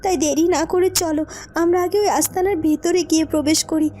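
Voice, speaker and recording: A woman's voice: very high-pitched, steady tone, fast, dark tone, slight background noise. A woman playing a brave, reassuring young heroine in a cartoon, earnest and encouraging. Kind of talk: Bengali cartoon voice acting. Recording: faint music behind. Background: faint background music.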